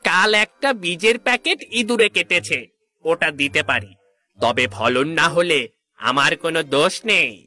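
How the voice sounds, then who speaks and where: A sly, stingy male shopkeeper from a village cartoon. His voice is high and extremely sharp, swinging widely as he scoffs and mocks. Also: lively intonation, moderate pace, dry recording. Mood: sly, relieved. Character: mocking, stingy male village seed seller. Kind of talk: Bengali cartoon voice acting.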